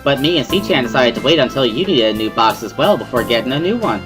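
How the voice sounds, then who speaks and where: A man voicing a bubbly, playful, energetic teenage schoolgirl in an amateur visual novel reading. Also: mid-range voice, quick pace, natural voice. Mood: playful, cheery. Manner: playful, cheery, exclaiming. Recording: music behind the voice.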